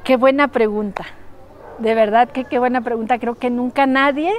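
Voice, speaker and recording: A woman's voice: fairly high pitch, fairly even intonation, moderate pace. A woman giving informal interview answers, repeating phrases for emphasis. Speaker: documentary interviewee. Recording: clear background ambient noise.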